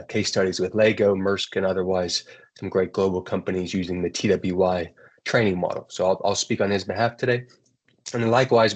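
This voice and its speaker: An American man hosting an online webinar, introducing the event and speakers in a relaxed, rambling way. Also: low voice. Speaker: webinar host.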